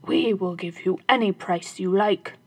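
A woman's voice: medium pitch, a little slow, husky. A woman doing greedy, demanding robbers. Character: band of greedy male robbers.

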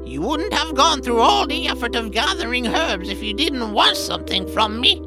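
A woman voicing a sly, haughty old crone witch in an audio drama, with a drawn-out, theatrical delivery. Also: high-pitched, big pitch swings, loud music behind the voice. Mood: haughty, knowing. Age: older.

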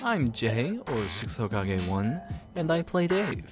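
A man's voice: mid-range voice, sing-song, takes it slowly. A man giving a scripted self-introduction as a voice actor in the credits. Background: music.

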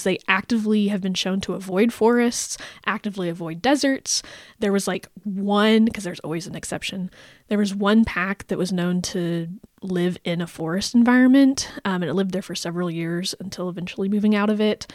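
A young woman hosting a casual podcast chat, relaxed and playful, often laughing between facts. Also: mid-range voice, no room sound, studio quality. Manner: playful, giggly, laid-back. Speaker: podcast host.

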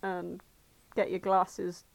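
A woman's voice: on the low side, monotone, slow pace, gravelly, dry recording, rough recording. A woman co-hosting a podcast, chatting casually in a blunt, teasing, sweary way. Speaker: podcast co-host. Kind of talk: casual banter.

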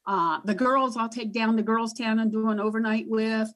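Booming, emphatic higher-voiced woman. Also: fairly even intonation, moderate pace, fairly bright tone. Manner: emphatic, repeats herself while thinking. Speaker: guest advocate. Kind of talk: panel discussion.

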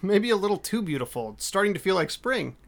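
A man's voice: sits high, sing-song, fast talker, vocal fry, a bit bright, heavily acted. A man acting in an audio drama as an easygoing, teasing young friend, playful and slightly hesitant. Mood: bashful, cautious.

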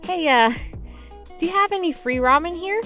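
A woman playing a scrappy, broke young mover who tells their misadventures in an expressive, slangy, playfully dramatic comedy audio-drama style. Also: very high-pitched, moderate pace, faint music behind. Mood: awkward, hesitant. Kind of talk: first-person narrated comedic audio drama with acted dialogue.

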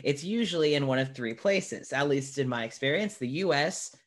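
Informal male podcast host with halting phrasing. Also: medium pitch, expressive. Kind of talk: casual podcast chat.

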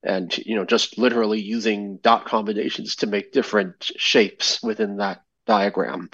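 A man's voice: lowish voice, steady tone, moderate pace, creaky, fairly bright tone, slightly rough, no room sound. A man calling in to a show, asking questions hesitantly with many fillers and restarts, heard over muffled call audio. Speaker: caller.

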